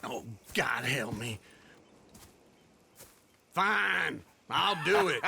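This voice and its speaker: Wildly animated, creaky old scoundrel. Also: male voice, on the high side, extremely slow, a little hiss or hum, exaggerated voice. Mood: grumbling, scheming. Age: older. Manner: theatrical, cajoling, glib. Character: wheedling, excitable older scoundrel, a conniving western outlaw. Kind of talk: dramatic video game cutscene acting.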